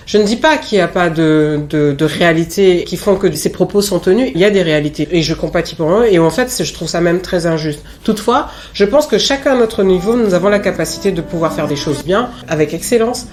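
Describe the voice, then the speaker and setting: Low-pitched woman from French Guiana, candid. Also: a little mellow. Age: late 30s. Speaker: interviewee. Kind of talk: reflective personal testimony in an interview.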